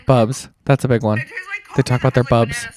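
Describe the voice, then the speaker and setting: Playful, sassy man with lively, animated pitch. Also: normal speed. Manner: playful, sassy, teasing banter. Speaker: podcast co-host. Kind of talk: casual comedic chat.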